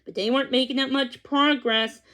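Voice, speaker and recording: A woman reading a children's storybook aloud, in a gentle, measured way. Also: high voice, steady tone. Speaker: storybook reader. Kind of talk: children's read-along narration.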